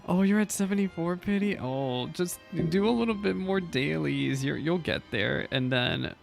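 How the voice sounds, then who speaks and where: A man's voice: fairly high pitch, animated, moderate pace, vocal fry, faint music behind. A man doing casual live reaction commentary as a game streamer, chatty, exclamatory and self-deprecating.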